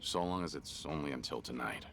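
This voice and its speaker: Gruff stoic middle aged game tough guy, deep gravelly voice, says little, deadpan. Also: brisk. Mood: reserved. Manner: terse, reserved, deadpan. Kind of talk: English video game voice acting.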